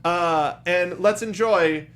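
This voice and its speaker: High-pitched man hosting a casual panel. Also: slow, fairly bright tone. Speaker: talk show host.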